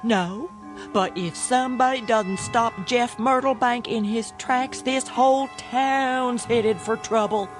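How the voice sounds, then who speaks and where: A stern, protective country mother in an American radio drama. Her high middle-aged voice has a rural accent and a superstitious fervor, with music behind it. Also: takes it slowly. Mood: stern, foreboding.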